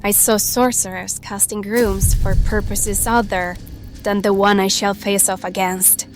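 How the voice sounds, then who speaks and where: A young survivor heroine acted by a woman speaks at a middling pitch with slow timing. She is plucky and wry, casual and to the point, in audio drama style over faint music. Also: flat delivery, close to an everyday voice. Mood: earnest, awed. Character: wry, plucky young female survivor seeking to stop an apocalypse.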